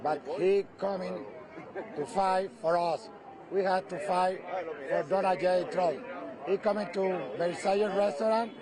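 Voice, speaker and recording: A man in his fifties from Cuba, with a Cuban Spanish accent, speaking emphatic halting English in a street interview at a rally. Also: high voice, fairly even intonation. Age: 50s. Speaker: rally supporter being interviewed. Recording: noisy crowd outdoors. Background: crowd.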